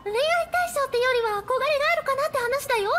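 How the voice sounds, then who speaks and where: A woman playing an earnest, easily flustered high school club captain in a Japanese radio drama. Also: expressive, very fast. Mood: flustered, embarrassed. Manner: hesitant, protesting when pushed. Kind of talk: Japanese anime-style radio drama acting. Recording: music bed under the voice.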